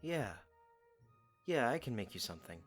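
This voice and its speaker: A woman acts out a bashful young man with a very lively intonation. He is earnest and protective, hesitant and dryly understated, in an audiodrama with light music behind. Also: rumbling low, normal speed, heavily acted. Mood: modest, pleased. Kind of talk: audiodrama acting.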